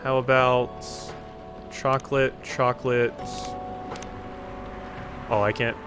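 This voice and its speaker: A man doing casual let's play commentary, thinking out loud as he plays and reads a visual novel. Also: mid-range voice, little pitch movement, slow. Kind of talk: casual game commentary, reading visual novel dialogue aloud. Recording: music behind the voice. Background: game music.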